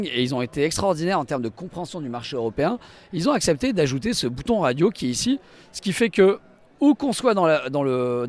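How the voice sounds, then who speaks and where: A man giving an enthusiastic, explanatory live product demonstration as a company representative at a trade show. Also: a little high. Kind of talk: live walk-through product demonstration to camera. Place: trade show stand and inside a car.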